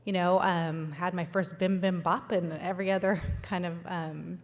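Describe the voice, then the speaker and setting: A woman's voice: on the low side, steady tone, moderate pace. A woman who grew up in Los Angeles gives an informal, conversational keynote as a founder. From Los Angeles, born in Sudan. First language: not English, learned it at five. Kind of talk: informal keynote talk to an audience. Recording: echoey hall, long reverb.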